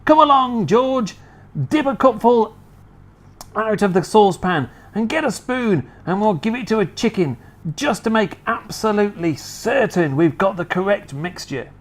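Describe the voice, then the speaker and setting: A reader voicing Mister Cranky, an excitable fussy father who is shrill and frantic in a storybook reading. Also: unhurried. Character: excitable fussy middle-aged farmer father.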